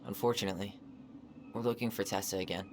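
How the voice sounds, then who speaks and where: A man's voice: fairly low pitch, steady tone, fast, background noise, close to an everyday voice. An actor playing an earnest, probing young man, direct and reserved in naturalistic video game dialogue. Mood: polite.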